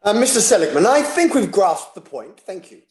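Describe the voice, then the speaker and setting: A woman playing a firm, brisk chair of an inquiry, speaking formally in a play table read in an echoey room. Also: mid-range voice, sing-song, darkish tone, lightly acted.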